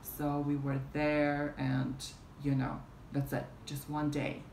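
A woman's voice: low-pitched, monotone, takes it slowly, creaky, darkish tone. A young woman telling a story casually on camera at home, rambling with many fillers and restarts, a fan running beside her. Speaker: vlogger. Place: small room at home, indoors, fan running. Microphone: camera in front at arm's length. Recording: roomy with fan noise behind. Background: fan hum.

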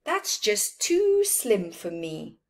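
A woman's voice: medium pitch, wildly swooping pitch, slow pace, heavily acted. A woman voicing a shy, anxious male camel in a storybook, hesitant and stammering out reluctant excuses. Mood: matter-of-fact.

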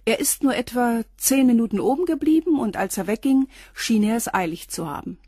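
A matter-of-fact concierge. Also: female voice, fairly high pitch, expressive, a little fast, warm tone, slightly rough, dry recording, studio quality. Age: 40s. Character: plain-spoken woman concierge around forty.

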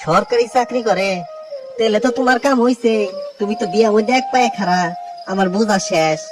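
A man's voice: high voice, normal speed, noisy background, natural voice. A man playing a jealous, fearful young village lover in an amateur drama, with a Tangail Bengali accent. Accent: Tangail regional Bengali, Bangladesh. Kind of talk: amateur village love-story dialogue. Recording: distant recording with loud music behind.

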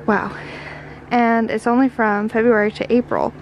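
A woman's voice: on the high side, level tone, normal speed, muffled recording. A woman in her twenties giving casual, enthusiastic travel vlog narration full of exclamations. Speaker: vlogger. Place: farm sugarhouse and outdoors. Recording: noisy on-location camera audio.

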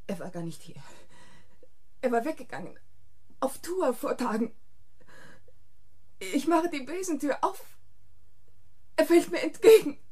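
An actress playing a distraught, panicked wife in a radio thriller, halting and repeating herself. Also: female voice, high-pitched, animated, darkish tone. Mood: shaken, frantic. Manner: halting, repetitive, agitated. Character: distraught, panicked wife who killed her tormenting husband. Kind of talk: German radio thriller acting.

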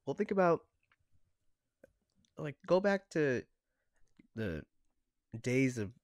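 A man's voice: medium pitch, big pitch swings, slow pace, no room sound, studio quality. A man co-hosting a podcast in casual discussion, thinking aloud in a tentative way. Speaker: podcast co-host.

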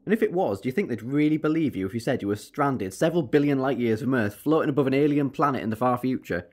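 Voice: medium pitch, animated, fast talker, darkish tone, natural voice. An actor playing an eccentric alien time traveller in an audio drama, wry and quibbling but earnest and persuasive. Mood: exasperated, insistent. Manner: wry, quibbling, turning solemn.

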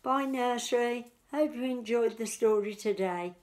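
A woman's voice: fairly high pitch, measured pace, a little mellow. A woman in her fifties telling a picture-book story as a nursery teacher, explaining simply to small children. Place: small living room, indoors.

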